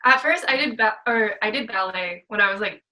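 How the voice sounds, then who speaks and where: A woman's voice: mid-range voice, animated, a little fast, pushed and bright. A teenage young woman chatting casually as a podcast guest about herself. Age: teens. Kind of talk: casual chat.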